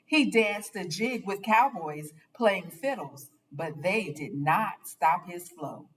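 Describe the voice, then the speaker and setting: A woman reading a children's picture book aloud with expressive, dramatic and deliberate phrasing. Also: lowish voice, recorded from a distance.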